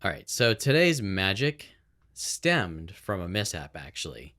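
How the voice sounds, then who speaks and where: A man's voice: deep voice, sing-song, measured pace, a bit bright, no echo, studio quality. A man co-hosting a podcast in casual chat, rambling conversationally through his explanations. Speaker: podcast co-host. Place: house room with improvised soundproofing. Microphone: own podcast microphone on a boom arm.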